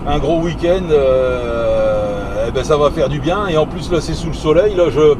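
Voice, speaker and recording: High-voiced man chatting over engine noise. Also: unhurried. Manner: hesitant, repetitive phrasing. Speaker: CB radio operator driving. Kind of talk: casual CB radio chat. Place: inside a moving vehicle. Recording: loud vehicle and engine noise. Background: road and engine noise.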